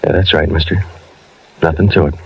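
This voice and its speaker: A man's voice: extremely low pitch, steady tone, a little fast, vocal fry, a little hiss or hum. An actor playing a clipped, matter-of-fact police detective who narrates his case in a 1950s radio crime drama. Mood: dry, low-key. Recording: dull old radio recording, music behind.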